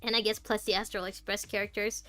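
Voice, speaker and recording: A woman's voice: medium pitch, level tone, fast, fairly bright tone, faint music behind. A woman streaming a game, chatting casually and thinking aloud in a rambling way. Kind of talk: casual chatty playthrough commentary.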